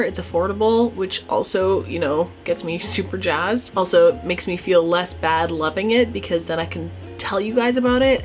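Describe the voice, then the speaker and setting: Chatty young woman beauty vlogger. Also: mid-range voice. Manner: enthusiastic, chatty, addresses viewers directly. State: getting hoarse. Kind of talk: casual chatty product roundup. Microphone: camera mic.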